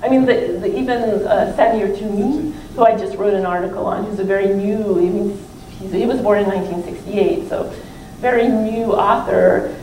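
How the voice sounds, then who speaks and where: A woman's voice: medium pitch, moderate pace, hardly any pauses, a little mellow. Woman lecturer in a roomy, distant recording. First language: not French (non-native French speaker). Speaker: professor and author presenting her book. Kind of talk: informal question-and-answer discussion at a book talk. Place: library event room, recorded from a distance. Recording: roomy, distant mic with faint room noise.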